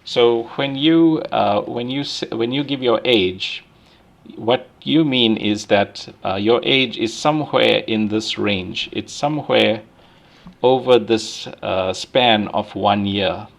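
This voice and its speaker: A man's voice: on the low side, slow pace, gravelly. A man giving a university lecture, explaining step by step with many restarts and checking in with "right?". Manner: frequent fillers and restarts while thinking aloud.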